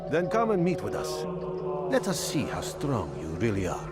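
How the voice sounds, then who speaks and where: An actor playing a wary, devout recruiter for a zealot sect in a video game, speaking solemnly and cautiously. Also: male voice, mid-range voice, huge pitch swings, moderate pace. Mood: solemn, inviting. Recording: loud music under the voice. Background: loud music.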